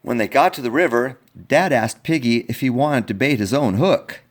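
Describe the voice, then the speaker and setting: A man reading a picture book aloud in an expressive, playful way, talking directly to young listeners. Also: mid-range voice, moderate pace. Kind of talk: animated children's storybook read-aloud.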